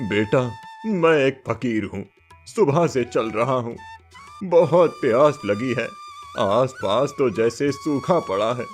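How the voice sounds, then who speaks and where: A man voicing a humble, devout old wandering fakir in a cartoon moral story, speaking pleadingly and reverently. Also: mid-range voice. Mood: weary, pleading.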